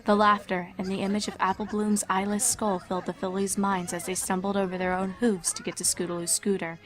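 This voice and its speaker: A woman's voice: medium pitch, flat delivery, fairly quick, faint music behind. A woman reading a scripted horror story as a dramatic narrator.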